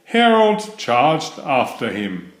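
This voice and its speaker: A man reading a children's bedtime story aloud in a deliberate, expressive storybook manner. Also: mid-range voice. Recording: roomy, echoing voice recording.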